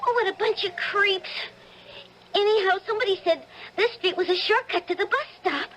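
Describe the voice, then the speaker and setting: A very high-pitched, nervous young American waitress in an old radio play. She rambles colloquially and breathlessly, over faint recording noise. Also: normal speed, fairly bright tone, low-quality recording. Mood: chatty, nervous. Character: chatty, nervous young working-class diner waitress. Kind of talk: old-time radio drama acting.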